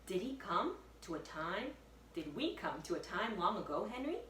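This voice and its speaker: A woman's voice: medium pitch, very animated, normal speed, fairly bright tone. A woman voicing a fearless, friendly young girl who loves animals, eager and insistent, in a children's storybook. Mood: curious. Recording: echoey room, distant mic, faint noise.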